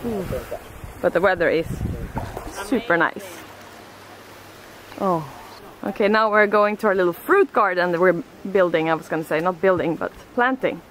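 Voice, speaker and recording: A woman's voice: on the low side, moderate pace, long pauses, a bit bright, slight background noise, rough recording. A young woman with a Swedish accent chatting casually to her handheld camera as a vlogger. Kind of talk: casual vlog chat.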